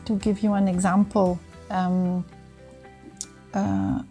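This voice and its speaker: A woman's voice: mid-range voice, flat delivery, slow, mellow, soft background music. A middle-aged Italian woman speaking English with an Italian accent as an interview guest, explaining things conversationally and searching for words now and then. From northern Italy. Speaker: interview guest, sports medicine doctor.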